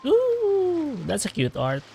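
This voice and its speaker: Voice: sits high, huge pitch swings, slow, creaky, mellow, soft background music. A game streamer casually commenting on a story quest, musing and reacting aloud as they play.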